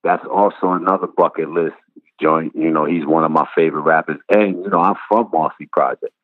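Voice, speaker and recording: A man's voice: extremely low pitch, fairly even intonation, fairly quick, no echo. A man from Brooklyn, talking casually as a rapper guest in an interview. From Brooklyn, New York. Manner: laid-back slangy storytelling. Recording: tinny phone-like line.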